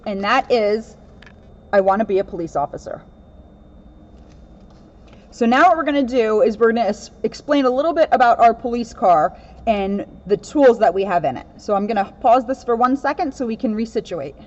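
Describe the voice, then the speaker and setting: A woman's voice: animated, moderate pace. A woman police officer reading aloud and explaining things informally for children. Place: in and around a police car. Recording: muffled, low-grade audio with faint noise.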